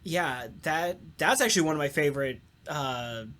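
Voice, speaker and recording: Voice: mid-range voice, lively intonation, normal speed, fairly bright tone. An enthusiastic co-host and voice actor chatting casually in a round-table talk, swearing freely.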